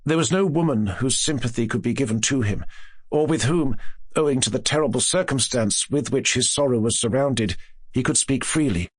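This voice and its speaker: A man reading classic gothic fiction as an audiobook narrator, in a measured, expressive, literary way. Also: mid-range voice, husky, dry recording.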